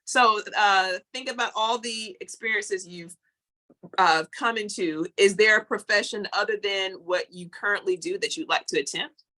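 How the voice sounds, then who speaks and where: Podcast host: woman, bright forward tone, medium pitch, casual questionnaire delivery. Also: normal speed, poor audio. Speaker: interview host. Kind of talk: casual questionnaire-style interviewing.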